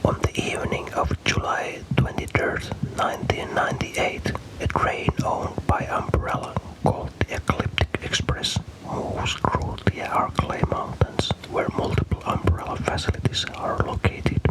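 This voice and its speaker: Male ASMR narrator, whispered throughout, breathy and unvoiced, measured scripted storytelling. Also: rough recording. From Finland. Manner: slow, deliberate storytelling.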